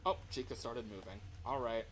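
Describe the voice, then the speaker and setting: Male livestreamer, noisy ambient recording, medium pitch, casual slangy gaming commentary, exasperated delivery. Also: normal speed. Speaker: livestreamer playing a horror game.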